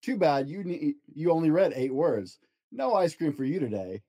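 A man's voice: on the high side, big pitch swings, moderate pace, mellow. A man voicing a confident, teasing young big brother in a storybook, challenging and playfully bossy.

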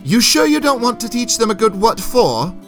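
A man's voice: on the high side, sing-song, a little slow, a bit bright. Guy doing a courtly knight for a fantasy story, jovial n wry, old-timey formal phrasing, music behind. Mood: teasing. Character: courtly, wry great knight, master at arms. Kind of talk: audiobook fantasy character voice.

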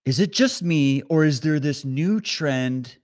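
A man hosting a political commentary stream, talking straight to his viewers with animated sarcasm. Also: on the high side, slow pace. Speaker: commentary host.

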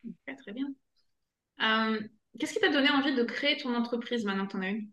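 A woman's voice: fairly high pitch, brisk, a bit bright, highs cut off. A woman hosting a business podcast, welcoming her guest and asking brief, direct questions. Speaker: podcast host, marketing advisor.

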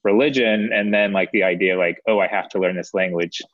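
Male, American-born, lowish voice, hesitant and reflective casual storyteller, slightly muffled lo-fi audio. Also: steady tone, fairly quick, a crowd in the background. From United States. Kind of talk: casual chat, personal storytelling. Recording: dull, band-limited audio.

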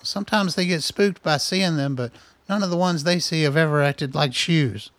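An actor in a radio drama playing a wry, defensive middle-aged scientist who talks in an earnest, exasperated way. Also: male voice, a little high, normal speed, fairly bright tone, no room sound, natural voice. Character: defensive, wry male scientist doctor, anxious and conspiracy-minded. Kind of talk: naturalistic radio drama acting.

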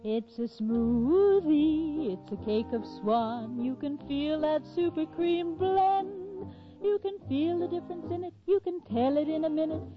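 An actress playing a cheerful soap mascot in a 1940s radio jingle commercial. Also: female voice, high voice, expressive, unhurried. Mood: cheerful, smooth. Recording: dull vintage radio, music behind.

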